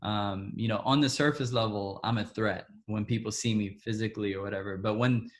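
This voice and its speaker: A man from Ethiopia, interviewed and telling a personal story in a halting way, with frequent restarts and soft, trailing asides. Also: fairly low pitch, steady tone.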